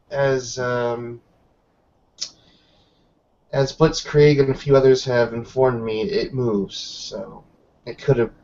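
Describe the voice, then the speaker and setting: Gravelly, hesitant man in roleplay. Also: medium pitch, a little mellow, highs cut off, a little hiss or hum. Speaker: player in an online roleplaying session. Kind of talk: in-character improvised roleplay talk with narration.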